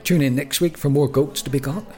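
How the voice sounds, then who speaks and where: A man's voice: on the high side, steady tone, brisk, a little mellow. A man co-hosting a podcast, chatting casually and jokily, often stumbling and restarting his sentences. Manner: chatty and jokey, with frequent stammered restarts. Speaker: podcast co-host. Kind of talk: casual chat.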